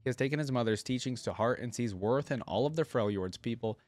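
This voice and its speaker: A man's voice: mid-range voice, fast, a little mellow, no echo. Casual male reaction YouTuber, dry close sound. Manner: conversational, thinking aloud. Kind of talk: casual reaction commentary with reading aloud.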